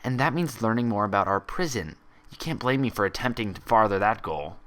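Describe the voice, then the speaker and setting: Man doing a sneering, sharp-voiced pony mare's mirror twin. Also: lowish voice, animated, brisk. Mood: scornful. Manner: defensive, scornful, argumentative.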